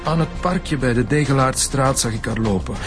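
A man's voice: mid-range voice, moderate pace, over music, lightly acted. A man playing a bitter, defensive middle-aged father under suspicion, with a Flemish accent, in a naturalistic radio drama. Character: defensive, bitter father suspected of killing his daughter.

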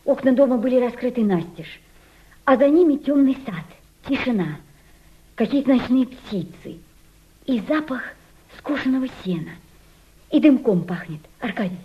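An actress playing a homesick, quietly defiant Russian émigré woman in a Soviet radio drama, with a lyrical, dreamy delivery. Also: on the high side, animated, moderate pace, long pauses, breathy edge. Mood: dreamy nostalgia. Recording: dull lo-fi radio play recording.

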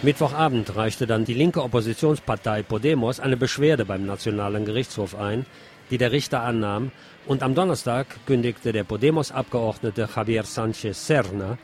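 A man presenting scripted news on community radio, reading in a measured reporting style with the odd dry aside. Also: mid-range voice, a little mellow, a little hiss or hum.

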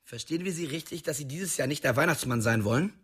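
An actor playing a cheeky, glib young prankster in a radio drama, with a slightly husky voice. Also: male voice, fairly high pitch, lively intonation, quick pace, fairly bright tone. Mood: mock official. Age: young adult. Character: cheeky young prankster, narrator's small-town best buddy.

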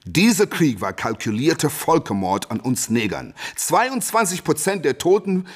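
Cocky boxing champion, husky and animated. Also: male voice, a little high, normal speed. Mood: defiant. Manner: boastful, argumentative, emphatic. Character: defiant, cocky American boxing champion in his prime. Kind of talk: German radio play acting, interview monologue.

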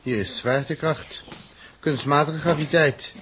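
An actor playing a bossy, impatient, technically minded space explorer in a 1960s radio drama, gruff and explanatory. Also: male voice, on the high side, a little slow, a bit bright. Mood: brisk, analytical. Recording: noisy early 1960s radio recording with clicks.

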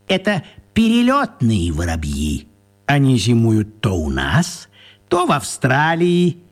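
An actor playing a whimsical, teasing little old wizard man in a radio play, husky and expressive. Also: medium pitch, full character voice. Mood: smug, explaining.

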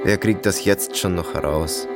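A young man playing a haunted soldier lost in fragmented memories, speaking haltingly in a radio drama monologue. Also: low voice, over loud music. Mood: haunted brooding. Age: young adult.

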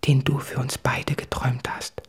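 A man's voice: mid-range voice, monotone, normal speed, breathy edge, no echo. A man acting an anguished, self-tormenting writer in a literary radio drama, brooding and intimate, often sinking into whispers. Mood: hushed, intimate.

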